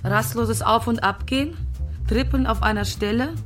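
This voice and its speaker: An actress playing a care worker who recites clinical jargon formally and with measured delivery in a radio drama. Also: female voice, a little high, over music, lightly acted.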